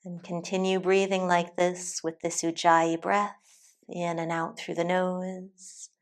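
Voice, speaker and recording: A woman teacher leading a guided meditation in a calm, soothing, deliberate way with long pauses. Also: on the low side. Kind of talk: guided meditation, soft instructional narration.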